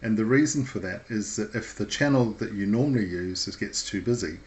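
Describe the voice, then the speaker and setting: A man giving an informal instructional talk in a measured, explanatory way with hesitant pauses. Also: fairly low pitch, a little mellow.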